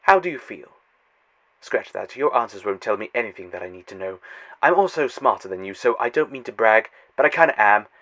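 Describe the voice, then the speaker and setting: Man voicing a condescending alien, sarcastic, lively swooping delivery, audio drama. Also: fairly low pitch, fairly quick. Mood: irritated. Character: arrogant sarcastic male alien Eternal guide.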